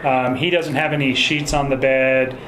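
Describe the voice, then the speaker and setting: A man speaking as a police investigator in a phone interview, choosing his words in a hesitant, measured and polite way. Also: medium pitch, flat delivery. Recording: echoey recorded phone call with faint noise.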